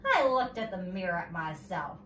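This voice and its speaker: A woman's voice: fairly low pitch, sing-song, normal speed, a bit bright. A woman teacher reading a children's story aloud expressively, with chatty asides, recorded at a distance in an echoey room. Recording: roomy echo, distant mic, faint noise.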